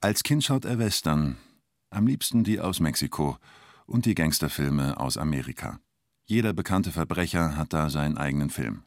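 An actor as the narrator of a radio play about a robber, speaking in terse, deliberate, clipped phrases. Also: male voice, rumbling low, animated, no echo, clean studio sound. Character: male narrator telling a bank robber's story tersely. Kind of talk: radio play narration, short present-tense sentences.

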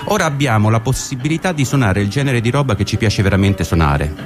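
Male radio performer, slightly low voice, scripted monologue over music. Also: animated, quick pace. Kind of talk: dramatic reading of a translated monologue. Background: guitar music.

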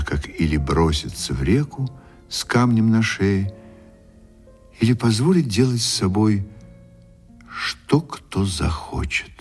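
A man giving dramatic literary narration for a radio play, expressive and theatrical. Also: deep voice, husky.

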